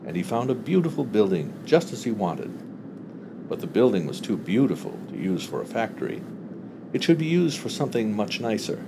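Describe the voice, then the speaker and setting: A man narrating a literary short story as an audiobook reader, with expressive delivery. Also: on the low side, a little fast, creaky, background noise.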